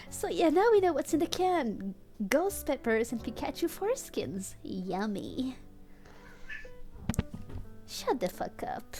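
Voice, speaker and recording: Slow-paced woman streamer reading fanfic over music. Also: high voice, sing-song, a little mellow. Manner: giggly, often breaking into laughter while reading. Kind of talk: comedic live fanfic reading with chat asides.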